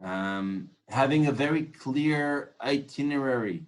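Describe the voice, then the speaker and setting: A man's voice: mid-range voice, lively intonation, slow pace, low-quality recording. Man lecturing, earnest and reflective. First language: Hebrew. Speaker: tour guide instructor. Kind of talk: informal lecture on guiding.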